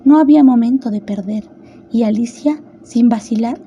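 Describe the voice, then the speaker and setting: A woman's voice: on the high side, normal speed, dark tone, muffled recording, a little hiss or hum. A woman narrating a scripted children's storybook tale as a radio drama narrator.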